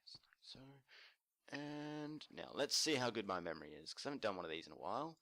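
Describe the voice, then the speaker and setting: A man casually narrating a building tutorial while playing, murmuring to himself and correcting himself as he goes, his voice a little croaky. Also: lowish voice, very animated, fairly quick, background noise. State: slightly croaky from screaming at a concert. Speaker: let's play host.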